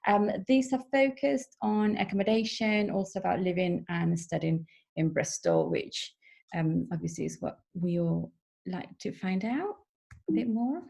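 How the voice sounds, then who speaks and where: A woman from Peru, an international officer, giving a measured online information session over a video call with frequent fillers. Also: mid-range voice, gravelly, a little mellow, breathy edge. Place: home or office in the evening.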